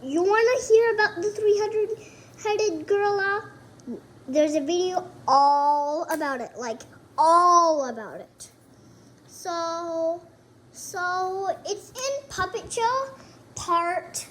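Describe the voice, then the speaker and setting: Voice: extremely high pitch, expressive, drawn-out words, a bit bright. A child chatting casually to viewers as co-host of a homemade puppet show video. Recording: noisy homemade recording.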